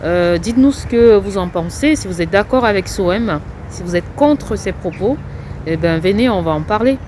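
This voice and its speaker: A woman's voice: medium pitch, expressive, moderate pace, darkish tone. A woman co-host with a mid-range voice asks brief questions and wraps up the chat. Faint traffic is heard outdoors behind her. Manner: brief prompts and back-channel murmurs. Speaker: co-host, interviewer. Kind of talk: casual interview.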